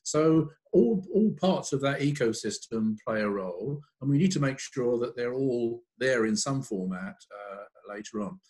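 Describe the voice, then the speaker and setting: A man's voice: mid-range voice, expressive, a little slow, few pauses, a little mellow. A man in his sixties explaining points on an online panel over a video call, often repeating phrases. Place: room at home or office, video call.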